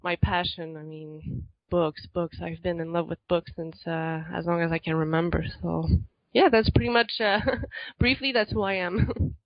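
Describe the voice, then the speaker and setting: A woman's voice: on the low side, steady tone, normal speed, no echo, lo-fi sound. A woman from Quebec with a Quebec French accent, chatting as an interview guest, laughing often and using many fillers. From Quebec, Canada. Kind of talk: casual interview chat.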